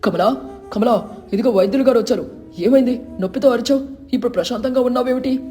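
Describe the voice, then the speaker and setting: A cautious, scolding storybook husband, acted out by a woman. He talks quickly in a mellow voice, anxious yet caring, with music underneath. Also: fairly high pitch, close to an everyday voice. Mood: surprised. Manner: admonishing, hurried.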